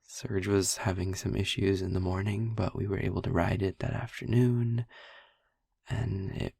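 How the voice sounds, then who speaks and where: Audio roleplay vampire boyfriend, male actor: low, very breathy husky voice, tender, halting confession on a close dry mic. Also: flat delivery, dry recording, full character voice. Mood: wistful, reminiscing. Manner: hesitant, halting, soft-spoken, confiding. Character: gentle young-looking male vampire boyfriend confiding painful past. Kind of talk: intimate audio roleplay monologue.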